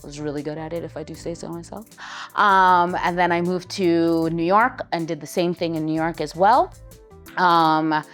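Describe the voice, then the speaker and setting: A middle-aged woman with an American accent telling her life story in a relaxed, chatty interview, with lots of "um" and "like.". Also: fairly low pitch, smooth. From Poland. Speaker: interview guest, lawyer.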